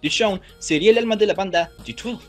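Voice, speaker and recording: A man hosting and narrating a scripted music trivia video in an expressive way. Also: sits high, a little fast. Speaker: video host.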